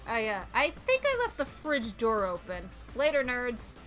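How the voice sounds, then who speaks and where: A woman streamer doing a playful let's-play, reading game text aloud and reacting with animated exclamations. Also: fairly high pitch, normal speed, soft background music.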